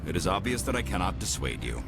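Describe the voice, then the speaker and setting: A formal, guarded merchant, lively intonation. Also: male voice, low-pitched, creaky, heavily acted. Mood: guarded, resigned. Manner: formal, measured wording. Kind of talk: video game voice acting. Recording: voice under loud background music.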